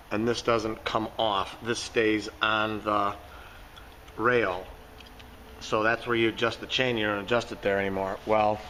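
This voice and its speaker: A hoarse man giving a casual, folksy walkthrough of his garage door repair work. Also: mid-range voice, fairly bright tone, slight background noise. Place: underground parking garage. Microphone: handheld phone camera.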